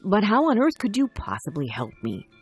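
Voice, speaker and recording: A woman plays a poor young storybook lad full of doubt. He questions everything with incredulous, very varied intonation and a husky tone. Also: mid-range voice, huge pitch swings, moderate pace, soft background music, heavily acted. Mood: puzzled. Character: miller's young son, poor, doubtful cat's master. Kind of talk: children's storybook character voice.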